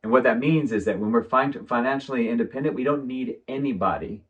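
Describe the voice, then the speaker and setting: A man's voice: medium pitch, quick pace, phone-quality sound. A man, an architect guest, talking thoughtfully in a rambling way with many fillers in a casual conversation. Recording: distant from the mic.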